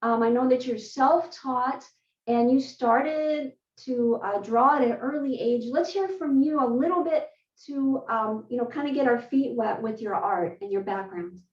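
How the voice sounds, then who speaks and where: A woman hosting an online interview, speaking in a friendly, measured way over a video call. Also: on the high side. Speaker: host. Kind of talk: scripted intro, then interview. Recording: roomy, distant, dull video call audio.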